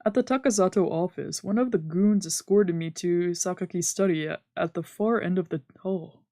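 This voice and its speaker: A woman's voice: on the low side, moderate pace, dark tone, no echo, clean studio sound. A woman streamer reading scripted first-person visual novel narration aloud. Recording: music behind the voice.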